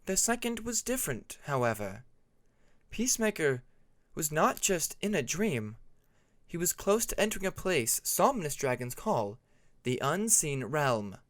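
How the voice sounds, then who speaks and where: Man voicing a measured female dragon, pitched up. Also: big pitch swings, a bit bright, no room sound. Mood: explaining, grave. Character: calm female dragon, knowing dream-walker, frank.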